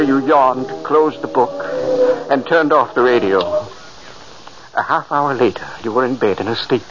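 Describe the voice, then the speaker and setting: An actor playing the earnest spirit of a dead brother, theatrical and deliberate, in 1940s radio style. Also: male voice, on the high side. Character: ghost of an old brother, earnest, urgent, solemn. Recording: muffled, noisy old radio recording.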